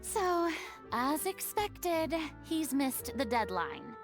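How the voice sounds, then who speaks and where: A woman voicing a flamboyant, dramatic young woman in a game cutscene, speaking in a theatrical, excitable way. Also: high-pitched, full character voice. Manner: theatrical, excitable, drawn-out delivery. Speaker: game character. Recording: game cutscene audio with music.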